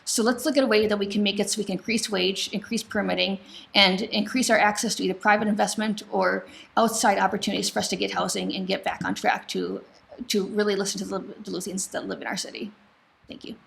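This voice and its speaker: A woman's voice: mid-range voice, steady tone, quick pace, vocal fry, fairly bright tone. An American woman giving a candidate's answer at a public forum in a studio. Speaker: city council candidate. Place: local TV studio.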